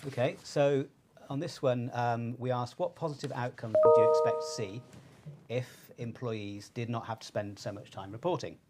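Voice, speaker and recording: A man presenting research findings in a semi-scripted style, measured and pausing between phrases. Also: mid-range voice, steady tone, loud music behind the voice.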